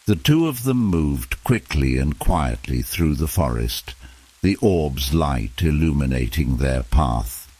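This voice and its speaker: Really deep voiced guy reading a fantasy bedtime story, slow and measured. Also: animated, warm tone. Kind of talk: scripted bedtime fantasy narration.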